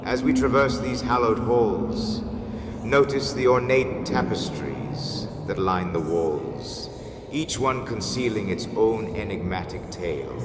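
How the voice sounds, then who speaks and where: A man playing a courtly, mysterious host of a haunted mansion, telling a gothic audio drama in formal, ornate, dramatic phrases. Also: fairly low pitch. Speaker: host and storyteller. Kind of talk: theatrical gothic storytelling, scripted. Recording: echoey hall reverb with background noise.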